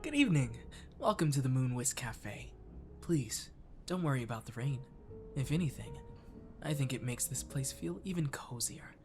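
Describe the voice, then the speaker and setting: A man acts out a friendly catboy cafe waiter for an ASMR roleplay. He is courteous and flirty, coaxing the listener gently at a medium male pitch, with clear music behind him. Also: very animated, moderate pace. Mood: welcoming. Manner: attentive, gently coaxing, lapsing into whispers. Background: clear background music.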